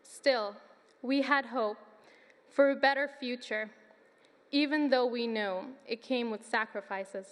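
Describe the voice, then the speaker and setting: A nineteen-year-old woman from Sudan, giving an earnest, measured student speech at a graduation ceremony. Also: fairly high pitch, fairly bright tone. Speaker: student commencement speaker. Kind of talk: prepared speech. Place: large hall. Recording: long reverb of a large hall.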